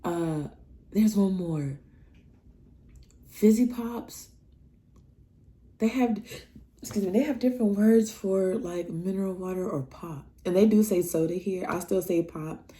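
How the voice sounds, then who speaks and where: A young woman from Nebraska telling personal stories in a casual vlog, acting out the dialogue with big jumps in her voice. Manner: animated, acts out dialogue with big pitch jumps. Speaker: vlogger.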